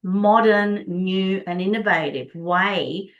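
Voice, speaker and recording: A woman's voice: medium pitch, slow, lo-fi sound. A middle-aged woman from Prague, a native Czech speaker, explaining their work earnestly and enthusiastically as an interview guest. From Prague, Czech Republic. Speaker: Body Code practitioner, interview guest.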